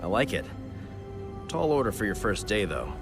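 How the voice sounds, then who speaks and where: A man playing a gruff, wary soldier leading a team in a video game, terse and commanding. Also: fairly low pitch, very animated, normal speed. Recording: noise or music behind dialogue.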